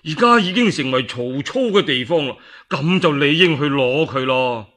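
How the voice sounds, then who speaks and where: A man voicing a courteous, cautious middle-aged lord in Cantonese, soft-spoken and earnest. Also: fairly high pitch, animated, normal speed. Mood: regretful.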